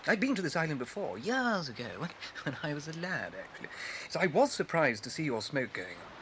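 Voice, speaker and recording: Stammering, fussy bird-watcher, swooping pitch. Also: male voice, sing-song, fairly quick, a bit bright. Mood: nervous, polite. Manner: stammering, hesitant. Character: bumbling, nervous male bird-watcher, fussy and easily flustered. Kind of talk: radio-play acting on a story tape. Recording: noisy old cassette transfer.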